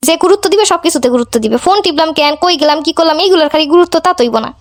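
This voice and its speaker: A high-voiced young wife played by a woman in a homemade cartoon, feeling neglected and answering with defiant sarcasm. She scolds at extreme speed in a Bangladeshi Bengali accent. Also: expressive, rapid-fire. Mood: cool, sarcastic. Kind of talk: amateur cartoon dialogue.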